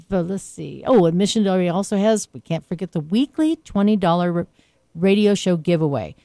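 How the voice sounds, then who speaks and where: Woman co-host reading ads, mellow. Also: medium pitch, no echo. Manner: chatty, stumbling over words. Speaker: radio co-host.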